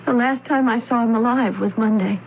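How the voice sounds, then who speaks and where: An actress playing a composed young daughter, matter-of-fact and measured, in a radio mystery drama. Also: female voice, on the high side, lightly acted. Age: young adult. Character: young adopted daughter, composed, recounting a death. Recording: noisy, tinny old radio broadcast.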